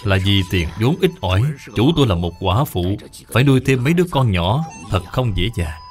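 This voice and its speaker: An actor playing a loyal, humble elderly servant in a dubbed cartoon folk tale, speaking formally and earnestly. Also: male voice, fairly low pitch, lively intonation, brisk, soft background music, close to an everyday voice. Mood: humble, grateful. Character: loyal, humble, determined elderly male servant turned trader.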